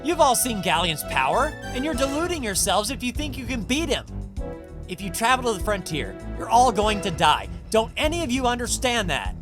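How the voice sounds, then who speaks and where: A man's voice: a little high, animated, a little fast, over music, close to an everyday voice. An actor playing an anxious, defeatist young male mage in a video game, with a dramatic, whiny delivery. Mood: scornful, agitated.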